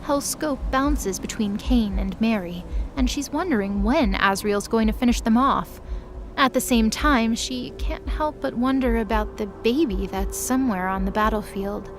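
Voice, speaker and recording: A woman giving dramatic scripted narration as the narrator of an audio drama. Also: fairly high pitch.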